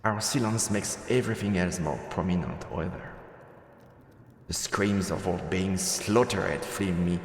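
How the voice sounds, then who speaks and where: Man, game streamer, lowish pitch, reading aloud and commenting, long reverb. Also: unhurried, a little hiss or hum. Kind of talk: let's play commentary, reading story text aloud.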